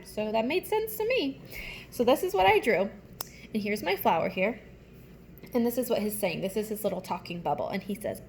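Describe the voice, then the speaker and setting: A woman elementary teacher reading aloud and explaining things simply to young children. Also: on the high side. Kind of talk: children's read-aloud and writing lesson. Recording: faint background noise.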